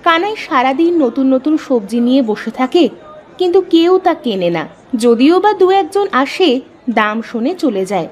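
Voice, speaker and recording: A woman's voice: sits high, very animated, a little slow. A woman narrating a scripted cartoon folk tale as a storyteller.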